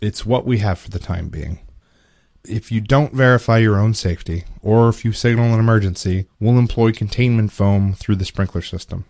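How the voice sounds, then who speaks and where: Woman doing a very low-voiced, stern head nurse. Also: normal speed, dark tone, dry recording, studio quality. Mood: firm, formal. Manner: formal, procedural.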